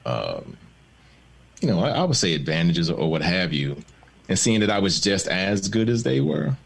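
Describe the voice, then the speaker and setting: Low-voiced man telling stories reflectively. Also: big pitch swings, moderate pace, gravelly. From born in the Bahamas, raised in Maryland. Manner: reflective, storytelling, laughs easily. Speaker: guest, film and TV showrunner. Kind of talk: candid panel conversation.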